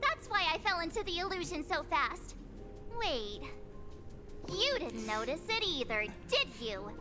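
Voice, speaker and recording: Casting: bubbly teen girl heroine, extremely high pitch, animated and dramatic, game music underneath. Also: measured pace, full character voice. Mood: determined, boastful. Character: bubbly, boastful teenage schoolgirl heroine, excitable and dramatic. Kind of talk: anime game voice acting.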